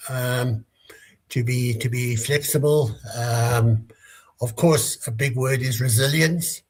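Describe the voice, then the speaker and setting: A man's voice: mid-range voice, slow, a little mellow. A man in his sixties from South Africa, answering interview questions with many hesitations and restarts over a remote call. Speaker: guest, business leader.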